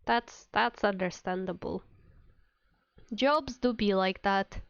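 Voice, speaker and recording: A woman's voice: medium pitch, slow pace, clean tone, no echo, dull audio. A woman streamer chatting casually with her viewers while playing a game, sounding a little under the weather. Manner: chatty, addresses viewers by name. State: sick with a cold. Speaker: VTuber streamer.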